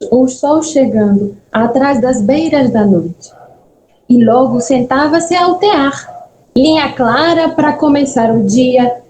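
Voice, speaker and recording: A woman's voice: sits high, darkish tone. A woman with a mixed Spanish and Brazilian Portuguese accent, giving a friendly, chatty talk with storytelling over a video call. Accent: mix of Spanish and Brazilian Portuguese. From Bahia, Brazil and Peru. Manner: friendly, chatty, checks in with listeners. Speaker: guest speaker, nurse. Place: echoey room, video meeting. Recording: echoey room, faint background noise.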